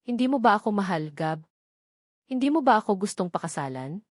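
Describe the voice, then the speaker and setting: Woman acting as a desperate jealous young fiancée, Filipino accent, agitated, pleading, romance story, medium pitch. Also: big pitch swings, brisk, dry recording, studio quality.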